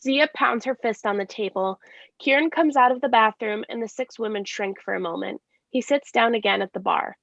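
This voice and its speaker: Woman narrating stage directions, gravelly fry in the voice, kinda dull audio. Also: on the high side, normal speed, a bit bright, dry recording. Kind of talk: scripted narration. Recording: slightly dull lo-fi sound.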